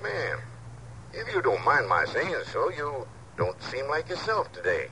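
An old radio recording with faint hiss, and an ingratiating male con artist with a drawl. His voice is bright and very animated, full of folksy false friendliness in 1950s radio drama style. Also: medium pitch, measured pace, full character voice, rough recording. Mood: falsely sympathetic. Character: smooth-talking folksy swindler feigning kindly friendship.

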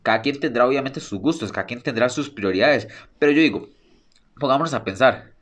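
A man's voice: medium pitch, brisk, a bit bright. A young man hosting a solo sports podcast, speaking eagerly and repeating phrases for emphasis. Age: young adult. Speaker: podcast host.

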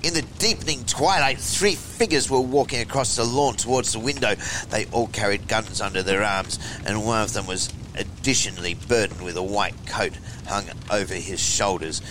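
A man hosting a horror show, reading tales and listener letters in a theatrical, deliberate way. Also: fairly bright tone, poor audio. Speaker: host and reader of a horror anthology show. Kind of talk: expressive storytelling and letter reading. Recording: low rumbling noise behind the voice.

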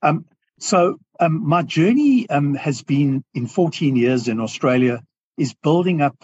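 Earnest South African man, husky voice. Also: on the high side, lively intonation, darkish tone, no echo, highs cut off. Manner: earnest, reflective, halting with restarts. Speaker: guest business owner. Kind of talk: podcast interview.

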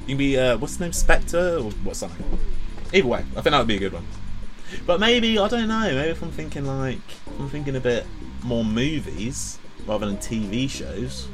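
A man's voice: medium pitch, animated, a little fast, music in the background. A man hosting a podcast, joking around in casual chat. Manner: playful, jokey, laughs often. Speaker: podcast host.

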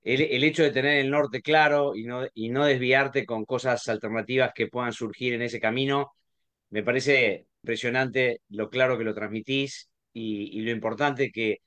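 A man with an Argentine accent hosting an interview, reflecting in halting speech full of repeated words and tag questions. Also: mid-range voice, fairly even intonation, a bit bright, dry recording. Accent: Argentine Spanish. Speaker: host.

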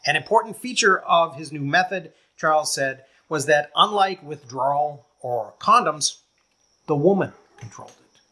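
A man reading his own nonfiction audiobook as scripted narration, in a measured, explanatory manner. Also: a little high, pushed and bright.

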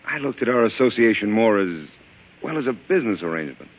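A cocky, evasive young mob lawyer in 1940s radio style. His fairly low voice swings widely in pitch as he talks briskly and defensively, on a muffled old recording. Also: very animated, slightly rough. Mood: earnest, awkward. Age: young adult. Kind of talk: 1940s radio drama acting.

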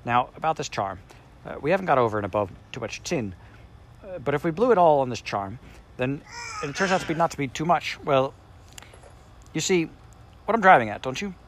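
Man reading as a fumbling schoolboy, hesitant and roundabout, bit pitched up. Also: big pitch swings, fairly quick, pauses often, a bit bright, a little hiss or hum. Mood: awkward.

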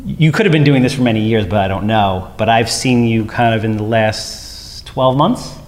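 A man's voice: fairly low pitch, normal speed, a little mellow, in a large hall. Upbeat, rambling man hosting a podcast. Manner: upbeat, playful, rambling compliments. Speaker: podcast host. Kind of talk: casual interview chat.

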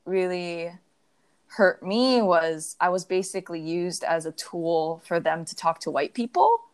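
A woman's voice: on the low side, lively intonation, measured pace. A young adult woman in casual conversation, telling stories reflectively with many fillers. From United States.

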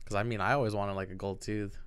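A man's voice: on the low side, fairly even intonation, fast, clean tone. A man in a casual podcast chat, laid-back and slangy, laughing often.

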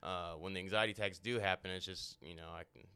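A man's voice: low-pitched, steady tone, fast talker, pushed and bright, smooth. A young man co-hosting a podcast, talking in a rambling, crude, swearing casual chat. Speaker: podcast co-host.

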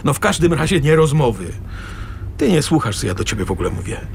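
A man's voice: mid-range voice, very animated, quick pace, creaky, slight background noise. An actor playing a sarcastic, exasperated middle-aged father arguing with his wife in a radio drama. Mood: sarcastic, fed up. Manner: sarcastic, argumentative.

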